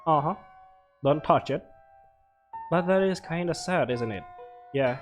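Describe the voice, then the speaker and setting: A man doing casual game commentary, reading on-screen text aloud and reacting with short, halting interjections. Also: a little high, lively intonation. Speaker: let's player. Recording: music playing behind the voice. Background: game music.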